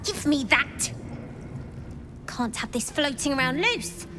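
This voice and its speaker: Street-smart young gang boss, high and brash, with audible background noise. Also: female voice, expressive, takes it slowly. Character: young female street thief gang leader, ambitious. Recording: game audio with noise behind.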